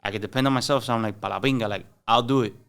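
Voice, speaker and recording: Young man, miami cuban-american accent, very smooth clear voice, slangy casual podcast talk with spanish mixed in. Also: lowish voice, fairly even intonation, very fast, a bit bright. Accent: Miami Cuban-American English. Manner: loose slangy talk mixing in Spanish, many "like"s and "bro"s. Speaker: podcast guest, comedian. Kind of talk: casual chat.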